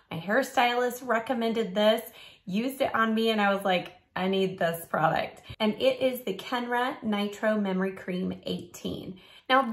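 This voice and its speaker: A middle-aged woman, a little raspy from a cold, chatting upbeat and instructive as she reviews hair products from her home studio. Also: mid-range voice, fairly bright tone. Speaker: beauty vlogger. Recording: roomy home recording.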